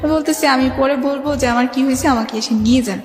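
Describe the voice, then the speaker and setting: A young woman with a Bangladeshi accent telling her life story in a radio interview, halting and conversational, acting out remembered exchanges. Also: high-pitched. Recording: echoey reverb, faint music behind. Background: faint music.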